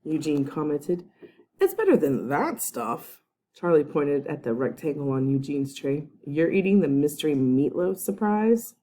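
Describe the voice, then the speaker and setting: Female teacher, lower voice, warm and mellow, reads a kids' chapter book aloud with friendly asides. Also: very animated, a little slow, slight background noise. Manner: friendly, chatty asides to young listeners. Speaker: first grade teacher.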